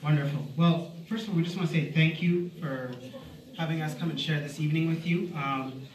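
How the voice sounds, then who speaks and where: A man's voice: a little high, brisk. A man who leads a children's choir introduces them to an audience in a friendly way. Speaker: choir leader. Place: large community hall stage. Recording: echoey hall from far off.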